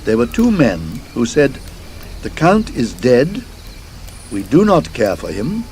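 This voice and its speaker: A man voicing a reluctant Swedish innkeeper who tells a grim tale in a slow, halting, emphatic way, in an audiobook. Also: mid-range voice, wildly swooping pitch, a little mellow, a little hiss or hum. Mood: grave, reluctant. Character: Swedish innkeeper, reluctant, grave, warning storyteller.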